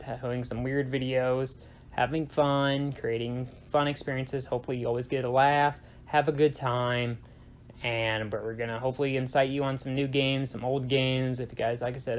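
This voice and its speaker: A man's voice: medium pitch, a little hiss or hum. A young man with a mid-range voice chats casually about his gaming channel. He rambles, leans on fillers and corrects himself as he goes. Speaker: YouTube gaming channel host. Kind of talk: casual channel intro talk.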